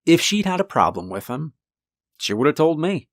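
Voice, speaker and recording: A man with a deep voice narrating a first-person horror story in a calm, conversational way. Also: normal speed, dry recording, studio quality.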